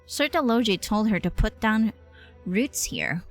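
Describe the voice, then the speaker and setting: A woman's voice: medium pitch, expressive, unhurried. A woman livestreaming a game, giving casual, informal reaction commentary as she muses aloud. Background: game music.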